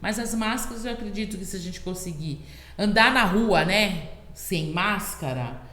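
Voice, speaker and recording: A woman's voice: medium pitch, animated, normal speed, a bit bright. Earnest Brazilian woman in an echoey room. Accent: Brazilian Portuguese. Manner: earnest, keeps asking "sabe?". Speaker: podcast guest, health worker. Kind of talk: casual interview chat. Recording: echoey room with long reverb.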